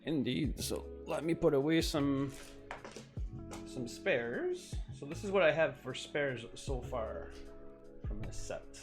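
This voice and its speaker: A man's voice: medium pitch, expressive, slow pace, over music. A man whose first language is French, chatting casually and at length on his live stream while he builds, with many fillers and restarts.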